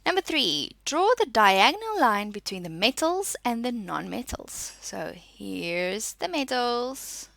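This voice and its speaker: A woman teaches a school science lesson in a bright voice with lively, varied intonation. She reads and explains in an instructional way, posing questions and answering them, with a creaky edge in a close, dry sound. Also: fairly high pitch, slow, few pauses, dry recording. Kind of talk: school lesson, reading notes and explaining exercises.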